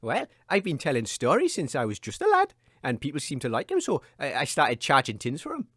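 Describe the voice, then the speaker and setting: A man's voice: medium pitch, huge pitch swings, fairly quick, fairly bright tone, slightly rough, dry recording, clean studio sound, voice pushed down, heavily acted. A man voicing a cheerful, folksy children's storyteller with a Geordie accent, telling tales in a sing-song way for a radio comedy. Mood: eager, friendly.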